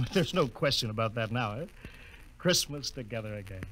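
A man's voice: mid-range voice, lively intonation, moderate pace, fairly bright tone, lightly acted. A man playing an affable rocket captain and devoted son in a 1950s radio drama, hearty in manner. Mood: cheerful. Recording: noisy old radio recording.

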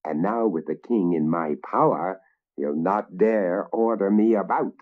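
Sly old wizard voiced by a man, gloating, scheming, slow, muffled storybook audio. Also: sits low, animated, vocal fry, breathy edge, heavily acted. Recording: slightly muffled recording.

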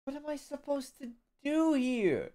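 A young man live-streaming a video game on a headset mic, swearing often and reacting loudly. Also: very animated, unhurried. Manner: swears a lot, loud and jumpy pitch. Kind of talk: casual gaming chat.

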